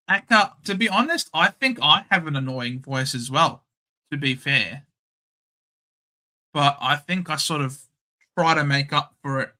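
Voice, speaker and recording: Slow-talking, bright-voiced Australian man. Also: mid-range voice. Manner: chatty, thinks aloud, reads viewer names. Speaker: livestream host. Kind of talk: casual livestream chat.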